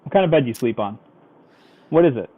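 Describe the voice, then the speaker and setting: A man's voice: medium pitch, animated, quick pace. A man teasing the host dryly as a guest calling in. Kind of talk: casual chat. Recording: tinny phone line.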